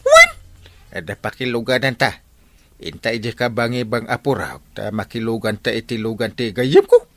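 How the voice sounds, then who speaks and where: A man's voice: mid-range voice, very animated, normal speed, sharp tone, no echo. A man with an Ilocano accent playing a boisterous, stubborn and proud village captain in a radio comedy drama. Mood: coaxing.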